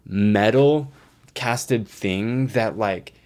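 A man's voice: fairly low pitch, extremely slow, a little mellow, no echo. A young man co-hosting a podcast in casual comedic chat, joking with plenty of slang and swearing. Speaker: podcast co-host.

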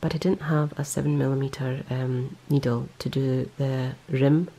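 Low gravelly woman, rambling knitting chat. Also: little pitch movement, moderate pace, warm tone. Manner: rambling, self-correcting, lots of ums. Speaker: knitting vlogger. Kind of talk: casual show-and-tell. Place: indoors, close-up on hands and yarn.

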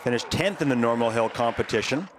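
A man giving measured play-by-play commentary on a ski jumping competition, speaking as a voice-over. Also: mid-range voice, noisy background. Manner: calm, measured play-by-play, lifting on big jumps. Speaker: sports commentator.

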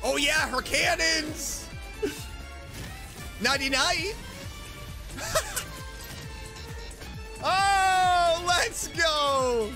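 A reaction streamer gushing over a game trailer with loud exclamations and frequent laughter. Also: very high voice, extremely slow, long pauses, over music. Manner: excitable, laughs often, exclaims loudly. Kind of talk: casual reaction commentary.

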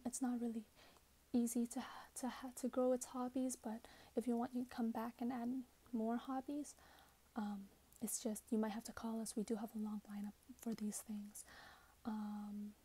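Breathy, whispering young woman, soft ASMR. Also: fairly high pitch, steady tone. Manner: gentle, hushed, unhurried customer-service patter. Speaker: ASMR creator role-playing a customer service clerk. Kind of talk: soft-spoken ASMR role-play. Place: small plain indoor room.

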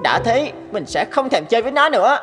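A woman's voice: on the high side, very animated, fairly quick, fairly bright tone, breathy edge, in a large hall, faint music behind, exaggerated voice. A woman voicing a petulant, resentful schoolboy in a cartoon, with a boyish manner. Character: sulky, resentful schoolboy older brother. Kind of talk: Vietnamese animated moral tale dubbing.

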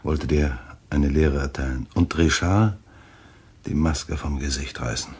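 An actor playing a vengeful, calculating husband in a German thriller radio play, with measured phrasing. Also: male voice, extremely low pitch, fairly even intonation, a little mellow, breathy edge, exaggerated voice. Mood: calm, self-satisfied. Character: vengeful, calculating husband who staged a cruel charade.

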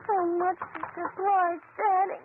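A woman's voice: very high-pitched, little pitch movement, unhurried. A woman playing an earnest, worried little child in a 1930s radio drama. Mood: fretful, concentrating. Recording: scratchy, tinny 1930s radio recording.

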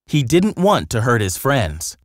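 A man's voice: medium pitch, wildly swooping pitch, a little slow, no room sound, studio quality. A man narrating a scripted children's story with dramatic storytelling delivery.

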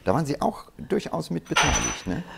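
A middle-aged man moderating a stage conversation in an indoor hall, asking questions in a lively, animated way with quick asides. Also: a little high, vocal fry, background noise.

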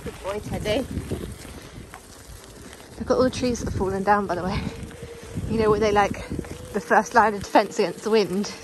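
A woman vlogging casually while riding an electric bike along a forest track, chatting to the camera and coaxing her dogs along in a high sing-song voice. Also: steady tone, moderate pace, pauses often, noisy background.

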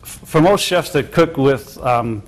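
A man's voice: mid-range voice, lively intonation, measured pace. A man who runs a seafood shop, explaining how to pick and fillet fish in a hesitant, casual way. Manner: hesitant, many fillers and restarts. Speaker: seafood shop owner, cooking guest.

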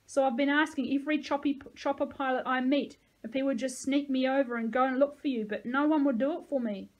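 A woman voicing a tough, motherly grey-haired army reservist with a gravelly voice and folksy manner, in an audiobook. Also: high voice, fairly even intonation, brisk, pitched above the performer's own voice, natural voice, low-quality recording. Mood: tender, apologetic. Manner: bellowing orders, folksy endearments.

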